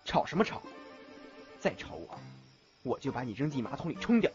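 A man's voice: mid-range voice, very animated, fairly quick, lightly acted. A man playing a curt, cool young male student who sees ghosts, in an audio drama. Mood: annoyed. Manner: curt, cool, threatening when annoyed. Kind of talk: Chinese audio drama acting. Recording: dull lo-fi audio, background music.

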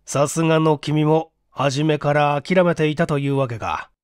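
A man's voice: a little high, steady tone, measured pace, smooth, dry recording, natural voice. A man as a wry senior clerk, dry sound. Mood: teasing. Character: senior male clerk, dry, teasing, sensible.

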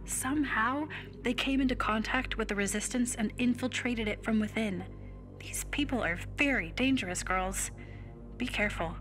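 A woman's voice: fairly high pitch, normal speed, a bit bright, faint music behind, close to an everyday voice. An actress playing an earnest woman briefing her resistance friends in a video game cutscene. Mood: serious, concerned. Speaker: resistance ally giving briefing.

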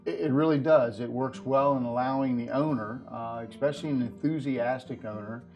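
A man's voice: mid-range voice, moderate pace, lo-fi sound. Earnest middle-aged man over light guitar music. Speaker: professional farrier, interview guest. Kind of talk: explanatory interview talk about horse nutrition products. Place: indoor video set at a display table.

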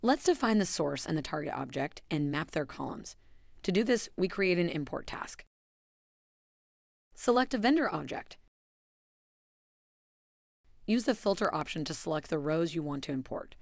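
A woman giving a scripted, even voice-over that walks through software steps. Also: low-pitched, normal speed, vocal fry, no room sound, clean studio sound. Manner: even, instructional delivery. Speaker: software tutorial narrator.

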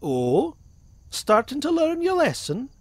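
A man's voice: very high voice, wildly swooping pitch, slow, no room sound, full character voice. A man voicing a hot-tempered, brooding duck gold miner in a dramatic fan comic dub. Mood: gruff. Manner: dramatic, gruff commands and wistful musing.

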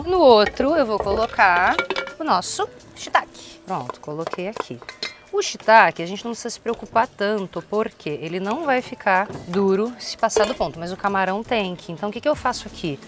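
A woman's voice: medium pitch, noisy background. A woman in her thirties with a Brazilian accent, casually explaining a recipe step by step in an informal, chatty way. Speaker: cooking show host. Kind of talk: casual cooking demonstration. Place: open-sided thatched outdoor kitchen. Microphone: lapel.

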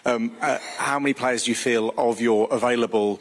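A man's voice: medium pitch, fairly even intonation, moderate pace. A male journalist asking a long, hesitant question at a press conference.

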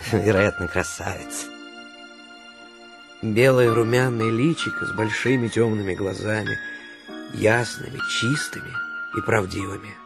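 Gravelly, pensive homecoming man. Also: fairly low pitch. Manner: musing, unhurried, reflective. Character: world-weary man returned home after twelve years, pensive. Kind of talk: radio drama inner monologue.

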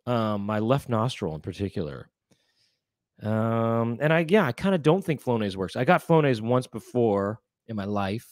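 A man's voice: mid-range voice, sing-song, moderate pace, a little mellow, no echo. A man in his thirties co-hosting a casual chat show from a small room at home, congested and rambling playfully into a studio mic. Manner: rambling, playful comic riffing. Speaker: co-host. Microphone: studio mic with headphones.

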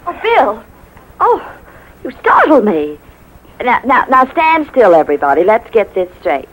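A high, lively voice of a gentle young mother, tender and refined. The delivery is expressive 1950s radio acting. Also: measured pace, heavily acted, rough recording. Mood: flustered.

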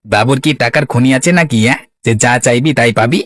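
A man's voice: mid-range voice, fast, fairly bright tone, natural voice. A man playing a sly, scornful assistant to a rich villager in a cartoon, speaking brusquely. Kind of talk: Bengali cartoon voice acting.

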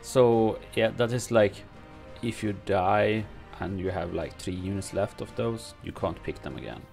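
A man with a low, gravelly voice reads game update notes and adds his own comments in English, which is not his first language. He talks in a halting way, full of fillers and restarts. Faint music plays behind him in his home streaming room. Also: a little mellow. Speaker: gaming streamer. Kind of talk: reading and commenting on game news.

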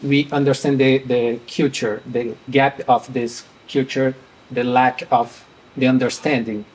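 A man's voice: medium pitch, little pitch movement, a little mellow, low-quality recording. A young man from Brazil with a Brazilian Portuguese accent, presenting on a conference panel in a hesitant, word-searching way, in English that is not his first language. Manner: halting, searching for words. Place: conference room with panel table.

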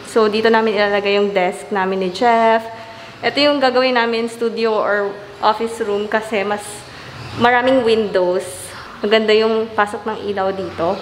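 Young filipina vlogger w filipino accent, chatty house tour thru echoey empty rooms, bit muffled by mask, faint noise. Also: female voice, fairly high pitch. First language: Tagalog. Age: young adult. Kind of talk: casual house tour chat, switching between two languages. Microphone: handheld camera at arm's length.

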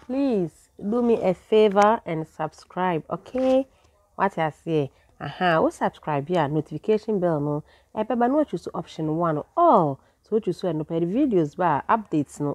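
A woman's voice: fairly low pitch, a little mellow. A Ghanaian woman hosts her own channel and talks through celebrity gossip in a chatty voice-over. She keeps drawing her listeners in with little questions as she goes. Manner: chatty, asks viewers "what I say?". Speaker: channel host and narrator.

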